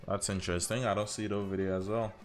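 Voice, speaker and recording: A man's voice: on the low side, flat delivery, fast talker, faint music behind. A man in his thirties from Haiti with a mixed Haitian, Caribbean and American accent, chatting casually and in a friendly way with viewers as he streams a game. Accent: Haitian with Caribbean and American influences. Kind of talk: casual livestream chat with viewers over gameplay.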